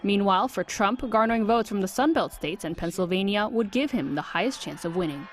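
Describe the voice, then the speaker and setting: A woman's voice: mid-range voice, expressive, brisk. A woman news reporter narrating a scripted report.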